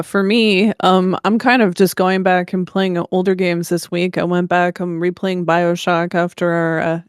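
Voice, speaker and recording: Female podcast co-host, clear voice, fairly flat intonation, dry close sound, casual gamer chat. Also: fairly low pitch, no room sound.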